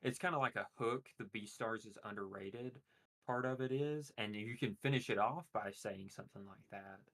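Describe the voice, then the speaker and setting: A man chatting casually, explaining his points at length in a monotone voice. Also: on the low side, moderate pace. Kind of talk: casual chat.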